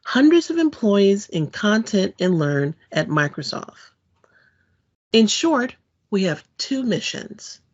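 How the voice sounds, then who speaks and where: A woman giving a scripted technical presentation in an explanatory, clearly phrased, read-out manner. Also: medium pitch, sing-song, slow pace, a little mellow, dull audio. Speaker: presenter, content developer.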